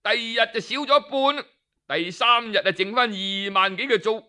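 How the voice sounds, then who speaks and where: A man's voice: very high-pitched, moderate pace, pushed and bright, smooth, full character voice. A man voicing a proud, boastful male general in a traditional storytelling recording, speaking in a blustering, self-assured way. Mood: gloating.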